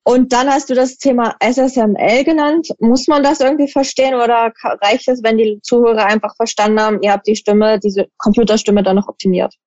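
A woman's voice: a little high, fairly even intonation, brisk, few pauses, darkish tone, no room sound, muffled recording. A woman hosting a podcast interview, asking probing questions and summarizing the answers. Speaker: podcast host. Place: lives in Switzerland for almost ten years.

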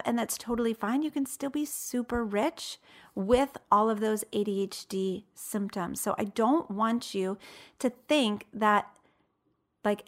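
A woman in her late thirties speaking as a coach and host, giving a motivational talk in an emphatic, conversational way with rhetorical questions. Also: medium pitch. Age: late 30s.

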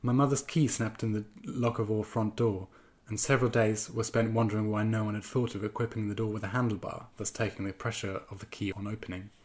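Man from Germany, somewhat low voice, halting interview answers, steady reading. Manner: hesitant, many ums and restarts when speaking freely. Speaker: guest writer. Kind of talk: interview answers and reading from his own piece.

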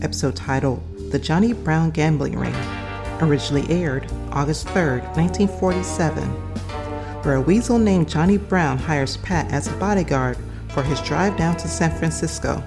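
A woman's voice: deep voice, moderate pace. A woman podcast host reading a scripted introduction to a vintage radio show. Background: music.

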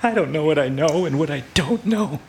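A man's voice: a little high, animated, fairly quick. A man acting a put-upon detective's sidekick who turns coolly menacing, with a theatrical, sardonic delivery, in a hard-boiled comic audio drama. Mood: frightened, defensive. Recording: clear background noise with clicks.

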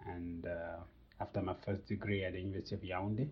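A young man with a Cameroonian accent, speaking as a podcast guest in a measured way with many pauses. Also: sits low, level tone, slight background noise. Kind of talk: casual interview storytelling. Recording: dull lo-fi sound.

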